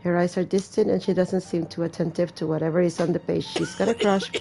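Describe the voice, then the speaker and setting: A woman's voice: lowish voice, steady tone, fast talker, vocal fry. A woman hosting a gaming video, reading game text aloud and breaking off for wry, blunt, casual asides. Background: faint game music.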